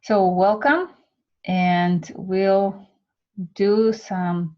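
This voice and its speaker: A woman from Siberia with a Russian accent, introducing an art class in a halting, unhurried way with many fillers. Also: mid-range voice, fairly even intonation, mellow, lo-fi sound. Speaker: art instructor.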